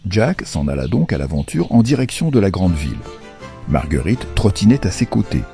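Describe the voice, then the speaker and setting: A man narrating a scripted children's fairy tale in a playful, wry, measured storytelling manner. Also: deep voice, very animated, vocal fry, mellow, faint music behind.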